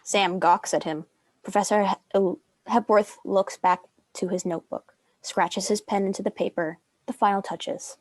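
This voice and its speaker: A woman's voice: medium pitch, steady tone, normal speed, dry recording. A woman reading stage directions as narrator of a scripted drama.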